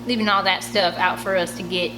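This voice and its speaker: A woman's voice: on the low side, fairly even intonation, fairly quick, background noise, close to an everyday voice. A woman voicing a menacing backwoods hillbilly villain in an audiobook, with drawling, threatening rustic talk. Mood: scornful.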